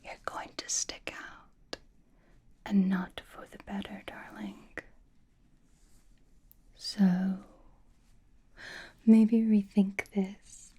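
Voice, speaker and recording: Slow, whispering, flirtatious vampire played by a woman. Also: mid-range voice, warm tone. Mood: teasing, flirtatious. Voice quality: breathy whisper. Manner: coy, theatrical, old-fashioned endearments, lingering phrasing. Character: flirtatious, doting, teasing female vampire companion. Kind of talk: whispered ASMR roleplay.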